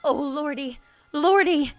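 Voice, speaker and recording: Brief: woman voicing a storybook gravedigger man, terrified, moaning, pitched up. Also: takes it slowly. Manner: fearful moaning. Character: frightened greedy male gravedigger.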